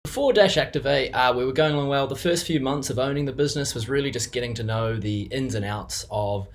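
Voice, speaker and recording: A man's voice: mid-range voice, brisk. A younger man with a New Zealand accent, a business co-owner giving an unscripted client testimonial, hesitant with many fillers and restarts.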